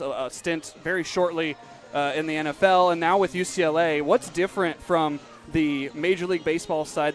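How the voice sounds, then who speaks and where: A young man hosting a baseball radio broadcast from a stadium booth, mixing play-by-play calls with interview questions. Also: fairly high pitch. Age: young adult. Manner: steady announcer delivery mixing calls and questions. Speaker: play-by-play announcer and host.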